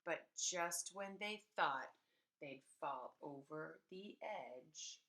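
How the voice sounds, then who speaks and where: Bright-voiced woman reading a storybook slowly. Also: sits low. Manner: animated, emphatic, pausing to explain to children. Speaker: preschool teacher. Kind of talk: expressive read-aloud storytelling for young children.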